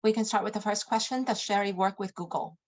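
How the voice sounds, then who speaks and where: A woman's voice: medium pitch, steady tone, fast. A woman moderating a live webinar, prompting the presenter politely with audience questions. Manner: brief interjections and polite prompts.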